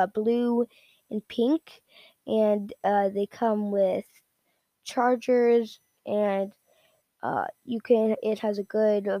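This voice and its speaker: A woman giving a casual, unscripted product rundown in a halting, repetitive, informal way. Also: on the high side, warm tone, no echo. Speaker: product reviewer.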